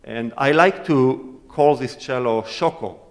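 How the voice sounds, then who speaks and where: A man's voice: medium pitch, slow pace. A man whose first language is Hebrew, a guest cellist answering audience questions in a friendly, explanatory way and demonstrating as he talks. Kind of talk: Q&A talk with a concert audience. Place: concert hall. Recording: echoey hall, voice from far off.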